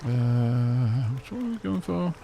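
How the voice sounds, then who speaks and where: A man's voice: mid-range voice, sing-song, slow, gravelly, highs cut off, slight background noise. Wry man streaming a game. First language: Welsh. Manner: chatty, wry, talks to chat. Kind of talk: casual gameplay commentary.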